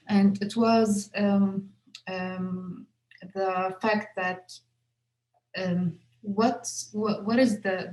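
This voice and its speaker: Young woman, arabic first language, halting english full of uhs, echoey room. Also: medium pitch, a little mellow. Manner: hesitant, many fillers and restarts. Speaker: film director. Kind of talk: festival Q&A conversation.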